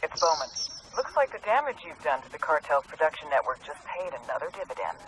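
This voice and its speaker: A man's voice: a little high, sing-song, quick pace, background noise. A man playing a businesslike intelligence handler in a video game, giving terse briefings. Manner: terse, matter-of-fact.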